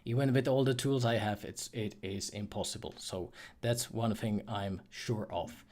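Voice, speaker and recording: A man's voice: on the low side, moderate pace, darkish tone. A man from the Czech Republic with a Czech accent, casually answering viewer questions as host of a livestream, in a hesitant, self-correcting way. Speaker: livestream host, freelance 3D artist and course creator.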